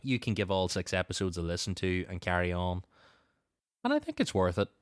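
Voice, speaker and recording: A man's voice: deep voice, huge pitch swings, a little fast, clear, resonant tone, no room sound, studio quality. A man hosting a podcast in a casual, conversational style. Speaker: podcast host.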